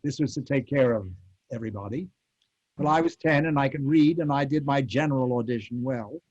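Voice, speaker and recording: A man's voice: medium pitch, fairly quick, a little mellow. Muffled elderly American man reminiscing. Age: 80s. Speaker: former child radio actor, convention guest. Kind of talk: reminiscing in a panel interview. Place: remote virtual convention call. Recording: muffled lo-fi call audio.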